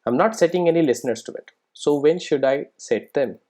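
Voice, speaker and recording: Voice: medium pitch, a little fast, warm tone. A programming instructor giving a casual step-by-step screen-recorded tutorial, often checking in with "right?" and "okay".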